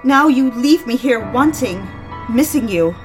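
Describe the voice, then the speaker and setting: An actress playing a desperate, obsessive mistress in an audio drama, with a needy, confessional manner. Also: female voice, on the high side, animated, unhurried, faint music behind. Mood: hurt, needy. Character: desperate, obsessive mistress pleading for her lover's attention.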